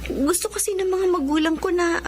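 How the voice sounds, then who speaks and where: An actress with a Filipino accent playing a young sweetheart in a romantic radio drama. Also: female voice, extremely high pitch, fairly quick. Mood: firm, uneasy. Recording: loud music nearly covering dialogue.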